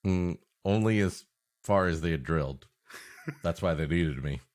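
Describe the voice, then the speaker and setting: A man's voice: low-pitched, measured pace, darkish tone. A man co-hosting a comedy podcast, bantering playfully in casual chat and often laughing.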